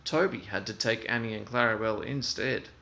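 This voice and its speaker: A man reading a children's story aloud in an expressive storytelling style. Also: fairly low pitch, normal speed, creaky, slight background noise.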